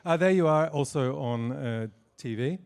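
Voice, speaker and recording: A man's voice: fairly high pitch, moderate pace, gravelly, a little mellow, clean tone. A man hosting an interview, asking questions in a wry, teasing way with many hesitations. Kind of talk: casual interview talk.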